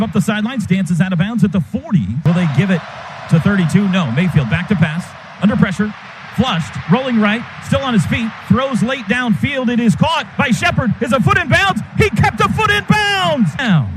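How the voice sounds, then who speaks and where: A man doing live radio play-by-play of a football game in clipped, vivid, excitable calls. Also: high voice. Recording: radio broadcast over loud stadium noise. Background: stadium crowd noise.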